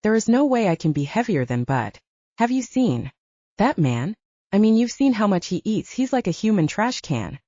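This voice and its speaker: A cocky male soldier, played by a woman narrator, playful and pragmatic in an audiobook drama. He banters and coaxes casually with very animated pitch and a warm, dark tone. The recording is slightly dull. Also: normal speed, dry recording. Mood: indignant.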